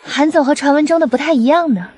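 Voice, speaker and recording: Teasing, high-voiced young society woman an actress's role. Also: fast talker, darkish tone, no room sound, close to an everyday voice. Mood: playful. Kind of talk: dramatized dialogue in an animated web drama.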